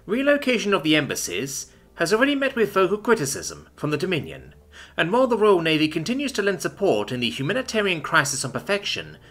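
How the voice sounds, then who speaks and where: Male reader doing polished TV newsreader, formal, bright, raised pitch. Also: exaggerated voice. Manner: formal broadcast reading. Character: television news anchor, formal and measured.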